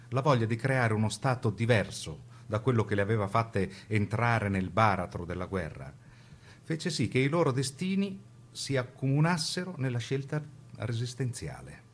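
A man narrating a scripted radio documentary-drama with formal, deliberate phrasing. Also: fairly low pitch.

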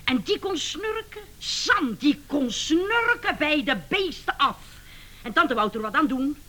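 High-pitched, animated, complaining wife. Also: female voice, measured pace. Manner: exclamatory, complaining, dramatic. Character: neglected, chatty wife of an obsessive inventor, laughing bitterly. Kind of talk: 1980s comic radio play acting.

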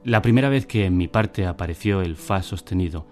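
A man's voice: low-pitched, fairly quick, darkish tone, faint music behind, close to an everyday voice. An actor playing a man who recounts his boyhood in wry, literary radio drama narration. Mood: wry, nostalgic. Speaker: first-person narrator.

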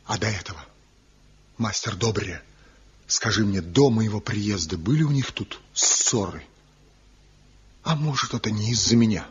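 A man's voice: on the low side, expressive, a little slow, long pauses, husky, exaggerated voice. A fervent, reckless rival master craftsman. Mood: anxious. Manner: fervent, theatrical, swings to ecstatic cries. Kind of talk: 1970s radio play acting. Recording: dull lo-fi old radio recording.

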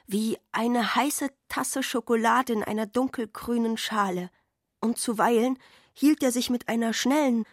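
A woman reading literary narration for a radio play, lingering deliberately over her phrases. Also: a little high, dry recording, clean studio sound.

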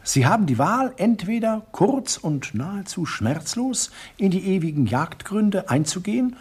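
Ein Schauspieler spielt in einem deutschen Hörspiel einen glatten, sadistischen Schurken, der spöttisch und genüsslich spricht. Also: male voice, high voice, sing-song, unhurried, slightly rough, heavily acted. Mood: mocking. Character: suave sadistic villain, middle-aged man, mocking. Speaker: villain Sir Bogomil. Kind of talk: German radio drama acting.

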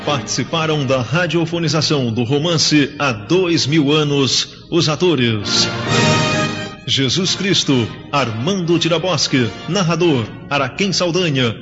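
A man with a fairly high voice reads out the credits of a radio drama in a measured, formal way. His voice carries a long reverb and sounds slightly muffled, with music underneath. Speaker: credits announcer. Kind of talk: reading production credits.

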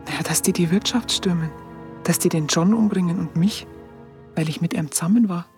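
An actress playing a tender, simple-hearted woman with a Bavarian accent, remembering in halting, colloquial half-whispers in a radio drama. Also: lowish voice, a little mellow, lightly acted. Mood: tender, moved. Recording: music under the voice.

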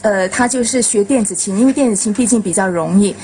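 A woman's voice: medium pitch, quick pace, a little mellow, a little hiss or hum. A woman giving news of an acquaintance in casual, informative radio chat.

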